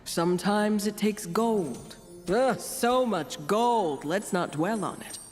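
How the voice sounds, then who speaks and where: A dramatic mystic woman from a fantasy video game, played by an actress, speaking slowly and portentously with a wistful, playful streak. Her theatrical narration drifts between mystery and chatty asides, with music playing clearly behind her. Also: mid-range voice, a little mellow, close to an everyday voice. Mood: mysterious, wistful.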